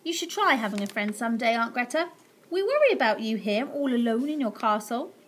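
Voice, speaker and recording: A woman's voice: a little high, animated, fairly quick, a bit bright. A woman voicing a cheeky, polite young boy who teases his aunt.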